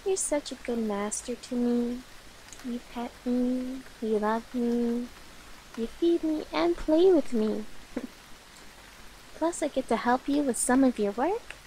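A woman playing an affectionate, sleepy young cat-girl familiar in a soft, coaxing, playful ASMR roleplay. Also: a little high, slight background noise, poor audio.

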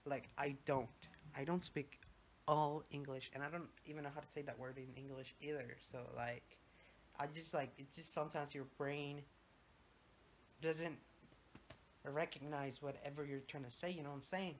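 A man's voice: fairly high pitch, fairly even intonation, normal speed, a little hiss or hum. A young man with a Mexican Spanish accent, whose first language is Spanish, rambles casually in a vlog. He swears offhandedly and drops in Spanish words while holding his phone at arm's length at home. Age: young adult. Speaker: vlogger. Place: home bathroom and bedroom. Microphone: phone held at arm's length.

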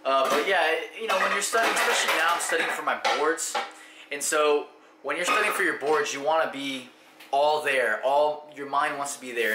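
A young man, a medical student, chatting casually and jokingly while cooking in a small home kitchen, filmed on a handheld camera. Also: a little high. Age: young adult. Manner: chatty, joking, heavy on "like" fillers. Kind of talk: casual cooking vlog chat. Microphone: handheld camera mic. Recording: echoey kitchen with background noise.

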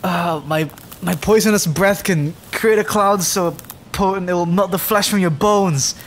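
A man's voice: sits high, unhurried, a little hiss or hum. Man playing a nervous, stammering young dragon. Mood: frightened bluster. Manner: stammering, hesitant, rambling. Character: timid, injured young male dragon, nervous and adorkable. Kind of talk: roleplay ASMR audio drama.

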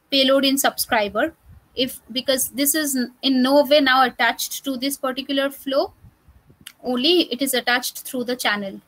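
A woman presents a software demo in an online meeting. Her voice is high and recorded dry, with little room sound. She explains things off the cuff, thinking aloud as she works. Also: no echo, rough recording. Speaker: presenter at a developer meetup.

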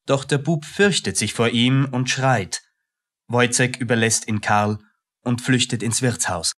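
Male radio play narrator, medium pitch. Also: measured pace, a bit bright.

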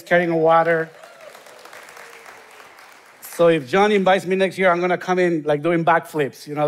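A man in his forties with a Honduran Spanish accent preaches to a congregation from a church stage, speaking into a body mic. His voice sits somewhat high for a man, and he turns emphatic on his key points. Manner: earnest, rising to emphatic bursts on key points. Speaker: guest pastor. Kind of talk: sermon with prayer. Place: large church stage, indoors.

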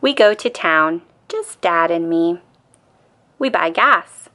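A woman reading a children's picture book aloud as an expressive, deliberate bedtime story. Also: fairly low pitch. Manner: deliberate, acts out quoted lines in a child-directed way.

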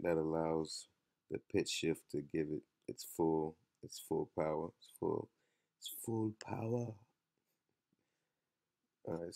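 A man giving a casual software tutorial in a laid-back, slangy way. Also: a bass voice, dry recording, studio quality. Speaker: music production tutorial host.

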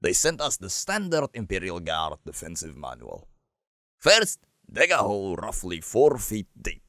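Man playing brisk sci-fi sergeant, gruff, very low, animated, instructional, game character. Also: dry recording, studio quality, heavily acted. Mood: brisk, commanding. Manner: barked, instructional. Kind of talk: military game acting.

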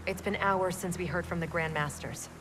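An actress playing a young, practical, worried soldier in a video game. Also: female voice, fairly low pitch, moderate pace, noisy background, close to an everyday voice. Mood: concerned. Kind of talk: video game cutscene acting.

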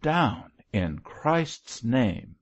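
A man's voice: medium pitch, very animated, slow, gravelly, a little mellow, lo-fi sound, pitched above the performer's own voice, full character voice. A man voicing a stern, pious old missionary in an audiobook, solemn and exhorting. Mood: fervent exhortation. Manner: solemn, exhorting, declamatory prayer. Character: wary old male zealot missionary, stern, fervently pious. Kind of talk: audiobook character voice.

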